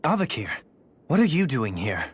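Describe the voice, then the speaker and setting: An actor playing a refined, reserved fantasy nobleman in a video game, soft-spoken and polite. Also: medium pitch, huge pitch swings, moderate pace. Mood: subdued, guarded. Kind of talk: video game cutscene acting.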